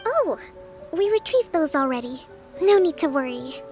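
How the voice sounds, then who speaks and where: A woman voicing a gentle young priestess leader in a video game, composed, polite and measured. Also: high-pitched, sing-song, soft background music. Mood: patient. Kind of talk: video game character acting.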